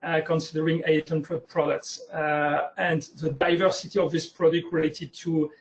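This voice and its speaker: A middle-aged man speaks English with a French accent, stopping often on drawn-out fillers as he walks through his slides. His voice sits slightly high for a man. The webinar audio is muffled and lo-fi. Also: flat delivery. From France. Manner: hesitant, many uh fillers.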